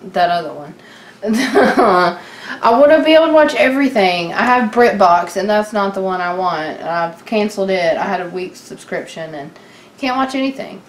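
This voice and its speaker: A woman's voice: medium pitch, lively intonation, normal speed. A woman chatting casually and unscripted as a co-host, informal and wry, joking and swearing mildly. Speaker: podcast co-host. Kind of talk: casual unscripted chat about TV. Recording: echoey room, background noise.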